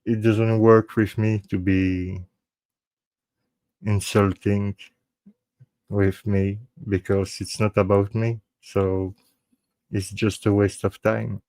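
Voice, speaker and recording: Slow, low Belgian man speaking halting English. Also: little pitch movement, darkish tone, no echo. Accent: French-speaking Belgian. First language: French. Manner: halting, restarts often, mixes in French. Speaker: host of a true crime live show. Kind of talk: casual live-stream sign-off.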